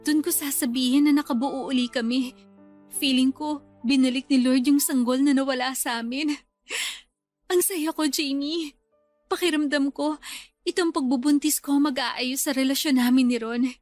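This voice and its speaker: An anxious, hopeful young bride-to-be, played by an actress confides in a high voice. The delivery is emotional Filipino radio drama. Faint music runs behind her. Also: darkish tone, no room sound, lightly acted. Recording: music bed under the voice.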